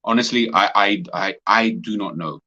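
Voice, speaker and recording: Deep, bright-toned man on a dull lo-fi mic. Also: moderate pace. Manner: animated, slangy, laughs often. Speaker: podcast co-host. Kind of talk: casual football chat.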